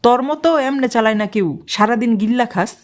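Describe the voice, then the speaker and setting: A woman's voice: a little high, fast talker, natural voice. A woman voicing a hot-tempered, sarcastic mother in a cartoon, nagging her daughter. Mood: angry nagging. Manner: nagging, sarcastic, shouting rebukes. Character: hot-tempered scolding Bangladeshi mother, middle-aged. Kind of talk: cartoon voice acting.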